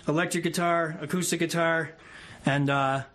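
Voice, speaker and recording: A man's voice: on the high side, fairly even intonation, normal speed, a bit bright. A male musician guest in a casual radio interview, explaining things in a rambling way. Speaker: musician guest, bass player and songwriter. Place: radio studio.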